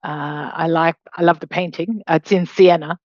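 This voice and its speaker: Low-voiced Australian woman lecturing, slightly muffled. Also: steady tone, normal speed, dry recording. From Australia, raised in Hong Kong. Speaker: law professor, guest lecturer. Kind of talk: academic webinar lecture with slides.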